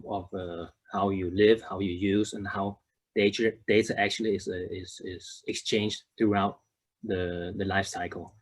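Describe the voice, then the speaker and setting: A man in his thirties from Denmark presents to an online audience, with a somewhat low voice. He often stops with fillers, repeats words and starts phrases over. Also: slightly rough. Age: 30s. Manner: hesitant, many fillers and restarts. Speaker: conference speaker.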